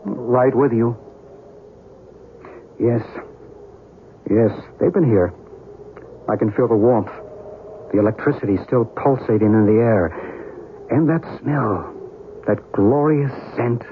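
An actor playing a calm, earnest believer in UFOs in a 1970s radio drama, speaking with measured mystical conviction. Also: male voice, mid-range voice, close to an everyday voice. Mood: calm, reassuring. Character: calm earnest UFO believer, narrating and advising a friend. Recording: muffled, noisy vintage radio broadcast.

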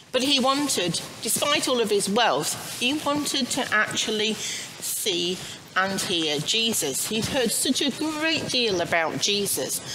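A middle-aged woman giving an informal children's sermon as a priest, chatty and halting, with frequent asides. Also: medium pitch. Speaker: priest leading a children's service. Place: inside a church, standing before the altar. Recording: clearly audible background noise.

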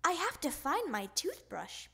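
Woman voicing an excitable, high-pitched little boy frog. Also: a little slow, vocal fry, heavily acted. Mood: eager. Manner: exclaiming, insistent. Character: excitable young boy frog stalling at bedtime.

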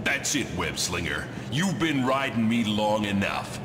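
A man's voice: lowish voice, big pitch swings, unhurried. A man voicing a brash, threatening supervillain thug in a video game. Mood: menacing. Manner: menacing, boastful. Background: loud game music.

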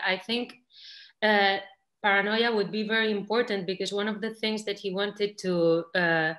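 Young woman, mid pitch, hesitant, many "uh"s, academic panel, roomy-sounding video call. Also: a bit bright. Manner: hesitant, frequent "uh", restarts. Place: room at home with bookshelf. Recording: echoey room on a video call.

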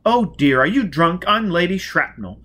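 Casting: excitable, flirtatious society lady played by a man, high, swooping delivery, storybook reading. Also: normal speed, heavily acted. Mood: scandalised delight. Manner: exclaiming, theatrical.

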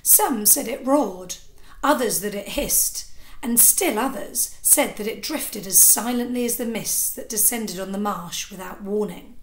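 A woman in her thirties reading a children's story aloud with expressive narration, like a teacher, into a device at arm's length indoors. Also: mid-range voice, unhurried. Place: small indoor room with sofa. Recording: echoey room.

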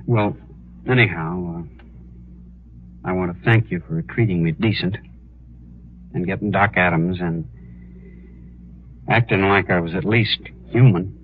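An actor playing an earnest, humble American prisoner in a 1950s radio western, speaking haltingly. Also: male voice, deep voice, phone-quality sound. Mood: resigned, earnest. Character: earnest young condemned prisoner protesting his innocence. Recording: thin, noisy old radio recording.

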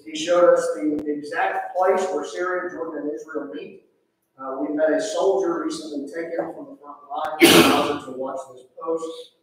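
A man in his thirties reads a letter aloud from a church pulpit, stumbling often with filler uhs and restarts. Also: a little high. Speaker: pastor reading a missionary letter. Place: large church sanctuary. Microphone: pulpit mic, filmed from far back. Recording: echoey sanctuary from far off.

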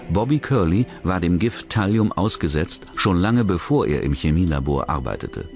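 A man with a very deep voice narrates a true-crime documentary. His delivery is scripted, measured and matter-of-fact, with faint music underneath. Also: a little mellow.

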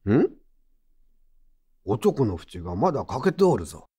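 An actor playing a gruff but kindly old craftsman over sixty in an audio drama, with old-fashioned, admonishing yet reassuring speech. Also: medium pitch, big pitch swings, normal speed, mellow. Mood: gruff, prodding. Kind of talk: Japanese audio drama acting.

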